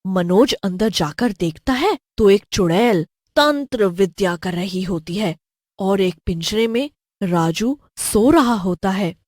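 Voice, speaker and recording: A woman's voice: mid-range voice, animated, slow pace, a little mellow, dry recording. A woman giving scripted narration of a cartoon horror story.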